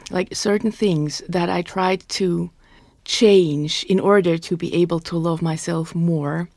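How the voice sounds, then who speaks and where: A woman in her thirties with a Swiss German accent, hosting her own podcast in an earnest, frank monologue, often adding "like" between quick bursts. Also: on the low side, a little mellow, no echo, clean studio sound. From Switzerland. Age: 30s. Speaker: podcast host.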